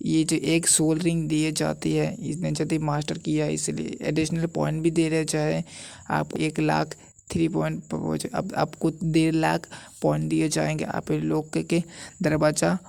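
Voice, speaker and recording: A woman's voice: low-pitched, monotone, measured pace, vocal fry, a little mellow. A woman narrating a story recap, telling the plot and speaking the dialogue in her own voice. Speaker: anime and manhwa recap narrator.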